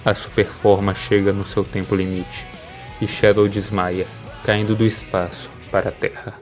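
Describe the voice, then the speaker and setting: A man's voice: lowish voice, level tone, normal speed. Brazilian man narrating over music. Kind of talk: scripted narration, versus-battle analysis. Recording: music behind the voice. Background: background music.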